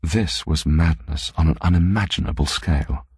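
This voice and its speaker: A man narrating literary fiction as an audiobook reader, in a measured, deliberate way. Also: a bass voice, breathy edge, no echo, clean studio sound.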